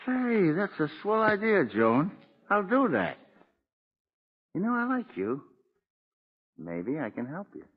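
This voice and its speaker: A man's voice: high voice, huge pitch swings, slow pace, pauses often, breathy edge, exaggerated voice. An actor playing a boastful, menacing convicted killer with cocky tough-guy slang in 1940s radio crime drama style. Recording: muffled tinny old radio recording.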